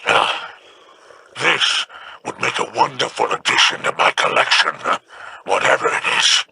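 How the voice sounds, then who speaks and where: Gravelly sneaky alien crime boss, slow and smug, bright edge. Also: male voice, medium pitch, very animated, a little hiss or hum, full character voice. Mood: sly. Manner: wry, insinuating, unhurried. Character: sly scheming crime-lord male alien, collector. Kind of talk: video game voice acting.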